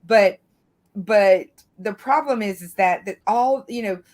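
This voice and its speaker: A woman's voice: mid-range voice, slow pace, darkish tone. Woman from the American South, rambling talker. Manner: rambling, frequent "you know". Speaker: legal commentator on a panel. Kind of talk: casual true-crime discussion.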